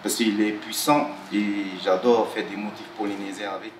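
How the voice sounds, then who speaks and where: Halting man in an echoey room. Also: fairly low pitch, little pitch movement, music in the background. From Tahiti. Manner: hesitant, halting with restarts and fillers. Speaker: tattoo artist. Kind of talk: talking-head interview.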